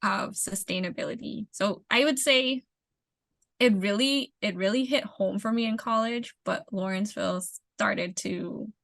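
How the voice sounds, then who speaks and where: A young woman with a bright, forward voice and a gravelly fry at the ends of phrases. She answers interview questions about her work in a measured, explanatory way, circling back on words while she thinks. The sound is dry, with little sense of a room. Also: mid-range voice, dry recording. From the Bahamas. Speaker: interview guest, urban planner.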